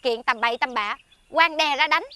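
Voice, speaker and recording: Woman playing a shrill, indignant village wife. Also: moderate pace, clean tone. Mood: scolding. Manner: sharp, accusing, interrogating. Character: indignant, suspicious, scolding young village wife. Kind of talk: theatrical folk comedy acting.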